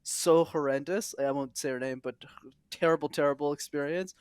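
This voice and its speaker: A young man from Montreal, a podcast guest, telling his story in an earnest, animated way with quick asides. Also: a little high, clear, resonant tone, no echo, studio quality. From Montreal, Canada. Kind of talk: casual interview.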